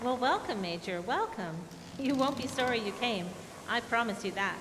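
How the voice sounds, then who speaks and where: Woman playing a sociable lady, high voice, noisy recording. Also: animated, moderate pace, lightly acted. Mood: effusive, welcoming. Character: sociable, proud Icelandic-Canadian married lady. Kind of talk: old-time radio drama acting. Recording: noisy live stage recording.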